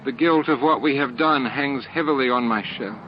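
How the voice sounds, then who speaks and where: A man's voice: medium pitch, normal speed, voice pushed up, lightly acted. A man voicing an earnest, troubled young female alien scientist in an audiobook drama. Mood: guilty, pleading. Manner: earnest, anxious, hesitant. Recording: dull lo-fi audio with music.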